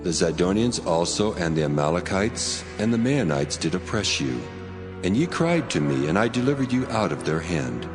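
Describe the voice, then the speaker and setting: A man's voice: low voice, very animated, moderate pace. A man playing God as a stern deity in a dramatized scripture reading, solemn and reproachful as he declaims. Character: God, stern male deity rebuking his people. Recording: prominent music behind the voice.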